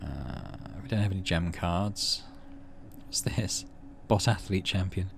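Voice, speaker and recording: A man's voice: low voice, takes it slowly, vocal fry, background noise. A man hosting a game playthrough, thinking aloud casually and narrating story text. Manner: thinks aloud, hesitant fillers. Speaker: let's play host.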